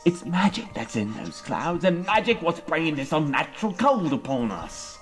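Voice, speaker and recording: Folksy, animated pony farmer dad played by a man. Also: fairly high pitch, moderate pace, over music. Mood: grumbling. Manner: folksy rural dialect grammar. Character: rustic earth pony father, opinionated farmer, tall-tale teller. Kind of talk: fan audio drama acting.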